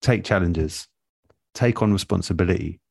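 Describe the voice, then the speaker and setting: A man speaking as an interviewed radio producer in a relaxed, reflective way, thoughtful and measured, often pausing mid-phrase. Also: sits low, creaky, husky, no room sound, clean studio sound.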